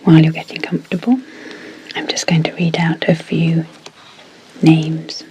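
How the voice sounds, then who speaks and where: A woman speaking in a soft, breathy whisper as an ASMR sleep hypnosis guide, deliberately and in short phrases with pauses. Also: low-pitched, flat delivery, a little hiss or hum. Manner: slow, deliberate, pausing between short phrases.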